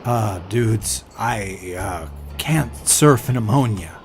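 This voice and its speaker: An actor playing a skeptical, exasperated everyman in an audio story, halting and stammering in disbelief. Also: lowish voice, soft background music. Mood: incredulous. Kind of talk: audio fiction character acting.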